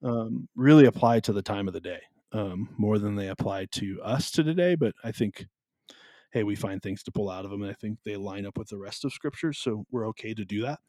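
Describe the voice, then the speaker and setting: A man with a deep, carrying voice co-hosting a casual podcast about the Bible, explaining at an easy pace with plenty of ums. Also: a little fast, dark tone, no room sound. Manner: easygoing, explains with many ums. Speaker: podcast co-host.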